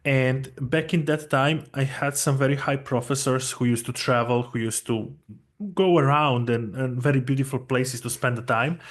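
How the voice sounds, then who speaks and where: A man's voice: mid-range voice, fairly even intonation, moderate pace. Man hosting an interview. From Serbia. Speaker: host. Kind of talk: conversational interview.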